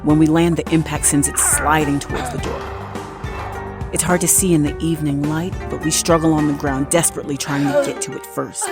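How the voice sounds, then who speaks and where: A woman's voice: deep voice, steady tone, fairly quick. A woman playing a guarded, scheming nurse who narrates her revenge tale in an intimate, suspenseful audio drama style. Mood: urgent. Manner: intimate, suspenseful, acted delivery. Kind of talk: dramatic first-person audio fiction narration. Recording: dramatic music behind the voice.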